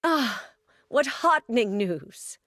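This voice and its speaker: A woman's voice: on the high side, lively intonation, slow pace, fairly bright tone, no echo. An actress playing a gracious, formal female ruler in a strategy game, speaking stately and courteous scripted dialogue.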